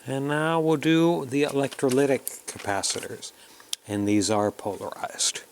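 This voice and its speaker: A man's voice: medium pitch, steady tone, unhurried, a little hiss or hum. A man narrating a casual hands-on electronics tutorial, plainly explaining each step as he works. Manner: plain, explanatory, talking through each step while working. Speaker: host of an electronics kit build tutorial.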